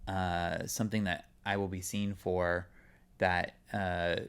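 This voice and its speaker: A young man from California chats in a relaxed, meandering way, full of "um" and "like". His voice is smooth and clear, sitting a little low. He is a guest actor on an interview podcast. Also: takes it slowly. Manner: relaxed, rambling with many fillers. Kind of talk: casual interview chat.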